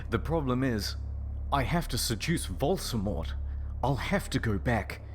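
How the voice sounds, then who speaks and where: A man's voice: medium pitch, animated, a little slow. A man narrating a comic story in a mock-serious, theatrical way. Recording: music behind the voice. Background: music.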